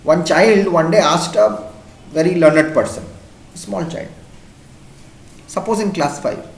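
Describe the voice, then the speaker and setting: A male teacher with an Indian English accent, a native Hindi speaker, giving a classroom lecture. He repeats his points and keeps checking in with the class using quick questions. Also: a little high. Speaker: school teacher. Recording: echoey room.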